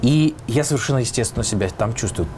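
A man's voice: medium pitch, animated, fast talker, a little mellow, a little hiss or hum. A man, a musician being interviewed, answering in a reflective way as he searches for words.

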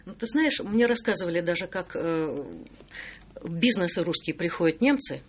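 A woman's voice: on the low side, lively intonation, normal speed, a little hiss or hum. A middle-aged woman, a singer-songwriter guest, speaking thoughtfully with frequent hesitations in a radio interview.

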